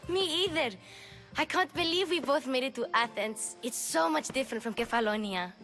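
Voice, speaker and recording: A young girl helper in a video game with a high voice. She sounds earnest and reassuring, a little bossy but caring, with soft music underneath. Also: moderate pace. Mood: fond. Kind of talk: video game cutscene acting.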